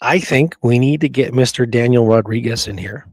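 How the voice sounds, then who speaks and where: A man co-hosting a history show in casual discussion, talking informally and haltingly with frequent asides. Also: medium pitch, darkish tone, slightly rough, no echo, studio quality. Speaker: co-host of a history talk show.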